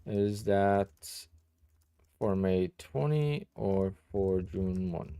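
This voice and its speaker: Tired Polish-accented man, low and gravelly. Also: drawn-out words, dark tone, no echo. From Poland. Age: 30s. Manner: chatty, answers viewer questions with many "like"s and "you know"s. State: tired and sleepy. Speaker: day trader streaming live. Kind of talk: casual live-stream chat.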